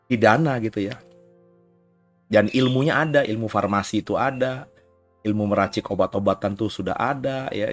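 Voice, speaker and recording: Low-voiced pastor with a slight cough. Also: male voice. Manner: informal, frequent "ya" tags and asides. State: recovering from a cough. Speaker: pastor giving a recorded sermon. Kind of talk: conversational sermon.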